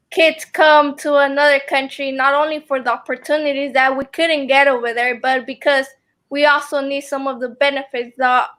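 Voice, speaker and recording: A woman's voice: sits high, sharp tone. Earnest young woman, Spanish native. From family from El Salvador. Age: young adult. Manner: earnest, halting, with many ums. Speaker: student guest. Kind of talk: earnest video call interview. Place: room at home. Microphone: video call.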